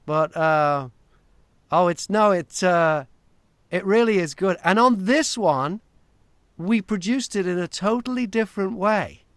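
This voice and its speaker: A man chatting informally as an interviewer, thinking aloud in a halting, conversational way. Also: high voice, lively intonation, dry recording, clean studio sound. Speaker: interviewer, audiobook narrator. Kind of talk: casual chat interview.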